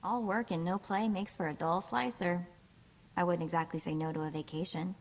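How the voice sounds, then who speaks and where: Sassy young hacker, lowish voice. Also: female voice, fairly even intonation, normal speed. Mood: playful. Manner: wry, chatty, hesitant asides. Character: sassy young woman hacker, quick-witted, restless, secretly vulnerable. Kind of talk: video game voice acting.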